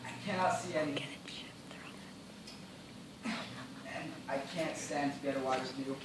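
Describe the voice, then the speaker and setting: A shipwrecked gentleman played by a man speaks in measured, formal stage declamation, resigned and bitter. His medium-pitched voice comes from far off in a heavily echoing theatre, with clear background noise. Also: close to an everyday voice. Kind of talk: formal stage play dialogue. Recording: distant, echoey, noisy live theatre recording.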